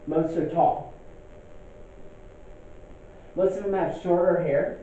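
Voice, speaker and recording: A young male teacher gives a casual classroom lecture, often pausing to ask "okay?". His voice sits a bit high for a man. The sound is thin and phone-like, with heavy room echo and a faint hiss. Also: steady tone, dark tone. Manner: conversational, checks in with "okay?".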